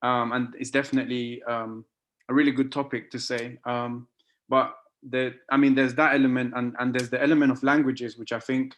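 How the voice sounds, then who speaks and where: A man's voice: mid-range voice, flat delivery, moderate pace, a bit bright, lo-fi sound. A man whose first language is Spanish, speaking hesitantly with many fillers in an online council meeting over a video call. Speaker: meeting participant.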